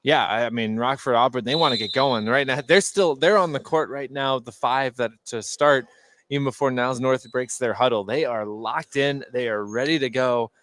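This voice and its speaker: A man giving live, unscripted basketball analysis in short, halting phrases. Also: medium pitch, fairly bright tone. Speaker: sports color commentator.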